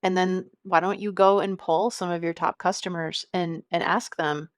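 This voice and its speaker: A woman in her fifties speaking on a podcast with a lowish, even voice. She sounds friendly, laughs easily, and works through ideas with plenty of "um"s. The sound is close and dry, with no room echo. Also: normal speed. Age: 50s. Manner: friendly, laughs easily, thinks aloud with fillers. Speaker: podcast guest, marketing agency owner. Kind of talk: conversational interview.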